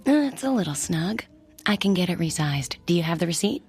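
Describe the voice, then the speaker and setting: A woman's voice: on the low side, animated, brisk, darkish tone, faint music behind. A woman acting a sardonic but affectionate policeman's wife in a radio drama, with dry, teasing delivery. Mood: teasing, reproachful.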